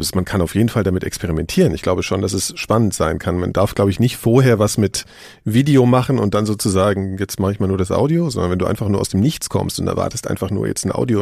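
A man's voice: on the low side, gravelly, a little mellow, no echo, clean studio sound. A man in a casual discussion, thinking aloud in an informal way.

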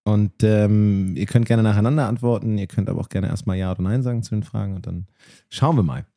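A man's voice: lowish voice, fairly even intonation, brisk, warm tone, no room sound, clean studio sound. A man interviewing two guests in a casual way, probing with chatty follow-up questions.

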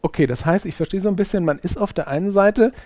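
A man's voice: sits high, fast talker, mellow, dry recording. A man hosting an interview, asking reflective and courteous questions in a conversational way. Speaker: interviewer host.

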